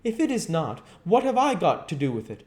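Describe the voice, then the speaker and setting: A man's voice: sits high, wildly swooping pitch, fairly quick, voice pushed up. A man doing an earnest, wounded painter.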